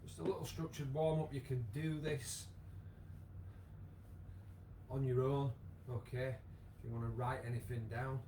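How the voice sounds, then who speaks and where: A man with a croaky voice, leading a live online exercise class with many fillers. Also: mid-range voice, level tone, unhurried, pauses often, dark tone, slight background noise, rough recording. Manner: chatty, encouraging, lots of fillers. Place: home room with wall and bar. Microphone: device camera, moves away at times.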